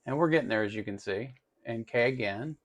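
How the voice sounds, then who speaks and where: A man's voice: mid-range voice, fairly quick. A man hosting a casual hands-on electronics tutorial, talking informally and thinking aloud as he works. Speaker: host of an electronics tutorial channel.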